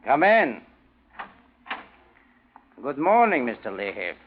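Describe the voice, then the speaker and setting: Hunted German male impostor, agitated and formal, animated pitch, dull vintage radio-thriller sound. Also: slow pace. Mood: falsely cordial. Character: desperate, hunted German impostor, man with murder on his conscience. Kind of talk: 1940s radio drama acting. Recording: dull, noisy old radio recording.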